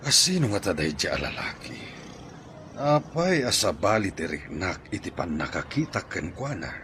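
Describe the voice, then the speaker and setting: A man's voice: sits low, very animated, normal speed, pushed and bright, slight background noise, full character voice. A man with a Filipino accent playing a wary, scheming character in a radio drama. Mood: suspicious, wary.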